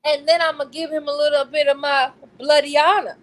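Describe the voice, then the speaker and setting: An American woman chatting casually as a book discussion co-host, candid and slangy in her animated storytelling. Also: high-pitched, brisk, poor audio. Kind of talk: casual chat. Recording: noticeable background noise.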